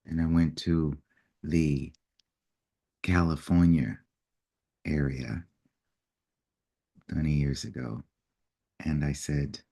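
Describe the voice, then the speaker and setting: A man speaking in an unhurried, reflective way as he tells a personal story, now and then acting out what people said. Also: rumbling low, gravelly. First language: English. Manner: unhurried, reflective storytelling with lively acted-out quotes. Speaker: personal development facilitator.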